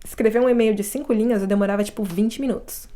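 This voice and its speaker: A woman's voice: medium pitch, steady tone, fast, dark tone. A Brazilian woman with a Brazilian Portuguese accent, a guest telling her stories in a casual interview, reflective, often restarting her sentences. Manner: reflective storytelling with frequent restarts.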